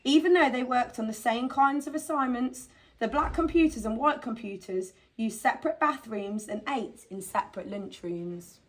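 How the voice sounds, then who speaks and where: A woman's voice: a little high, normal speed, poor audio. A woman on a school's staff reading a children's story aloud.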